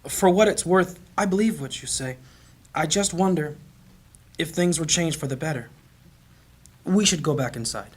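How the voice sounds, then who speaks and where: Gentle male priest for fantasy audio drama: devout, solemn, raspy and fairly high, unhurried compassion.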